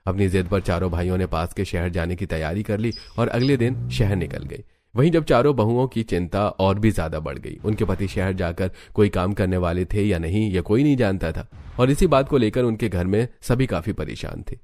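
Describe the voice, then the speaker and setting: A man's voice: low voice, normal speed, a little mellow, no echo. A man narrating a scripted cartoon story as the storyteller.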